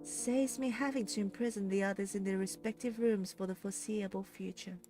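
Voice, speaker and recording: A woman voicing a mysterious woman villain with an obsessive, flippant and coldly teasing way of talking, raised somewhat above her own pitch. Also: unhurried, dark tone, faint music behind, close to an everyday voice. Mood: mocking. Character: menacing, mocking woman villain speaking over a speaker.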